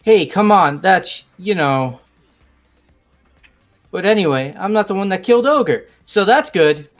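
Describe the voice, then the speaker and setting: Man as an easygoing young guy, rambling and a touch high. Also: expressive, unhurried, soft background music. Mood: casual. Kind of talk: fan voice acting of a game.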